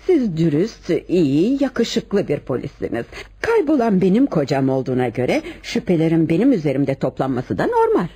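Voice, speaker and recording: Flirtatious lonely housewife: mellow, husky voice, intimate radio theatre delivery. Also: female voice, deep voice, huge pitch swings, normal speed, hardly any pauses, exaggerated voice. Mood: flirtatious, wistful. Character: lonely, flirtatious wife of a missing man. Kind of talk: Turkish radio theatre acting.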